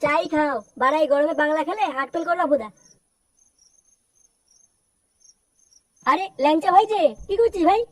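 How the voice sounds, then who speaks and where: A woman voicing a bossy, irritable village man in a comedy cartoon, scolding and foul-mouthed, with a pitched-up cartoon sound. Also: lively intonation, fast, no echo, far from the mic. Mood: surprised, brusque.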